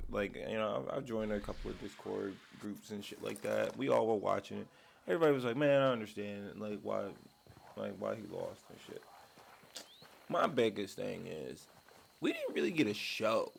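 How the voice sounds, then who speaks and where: A man who is sick with a raspy voice, hosting his channel and ranting in a casual, profane way from his man cave at home. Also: on the low side, a little hiss or hum. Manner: profane, heated rant. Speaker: channel host.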